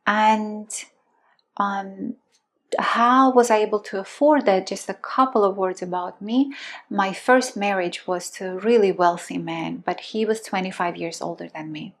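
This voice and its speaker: A woman, telling her life story earnestly and stressing key words. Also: medium pitch. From Armenia. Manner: earnest and emphatic, repeats words for stress, slows down on key phrases. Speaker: love and relationship teacher. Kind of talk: personal storytelling.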